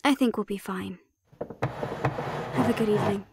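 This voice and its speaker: A woman's voice: mid-range voice, a little slow, creaky, very noisy. A woman playing a cocky, unafraid female handler in an audio roleplay, with a blunt, teasing, assertive manner. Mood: wry, confident. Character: bold, cocky non-human female handler, sardonic and unafraid.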